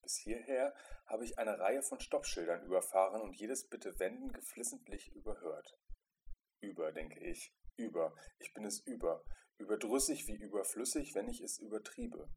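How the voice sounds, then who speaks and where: Deep-voiced man reading an introspective story. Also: sing-song, normal speed, a little mellow, husky. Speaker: narrator reading a short story. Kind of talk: scripted first-person literary narration.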